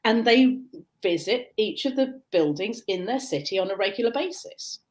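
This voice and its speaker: A woman's voice: medium pitch, expressive, normal speed. A British woman, a coach, answering interview questions in an earnest, explanatory way with frequent restarts, over a video call. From Britain. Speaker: guest, neurodiversity coach.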